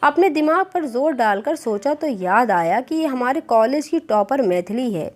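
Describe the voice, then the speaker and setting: High-voiced woman storyteller over music. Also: animated, moderate pace, a little mellow. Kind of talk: scripted narration of a Hindi moral story. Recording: clear background music bed.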